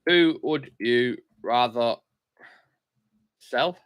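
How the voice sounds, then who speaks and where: A man's voice: medium pitch, very slow, a bit bright, clear, resonant tone. Blunt man hosting a fan stream. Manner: blunt, opinionated, swears casually. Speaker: host of a football fan show. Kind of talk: casual live-stream chat reading comments.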